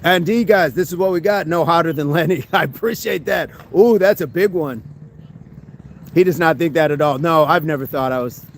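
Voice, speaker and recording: A man's voice: on the high side, moderate pace, a little hiss or hum. A man hosting a fitness livestream outdoors, chatting upbeat with viewers and reading their comments from a phone. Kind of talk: casual livestream chat with viewers. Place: outdoors among trees.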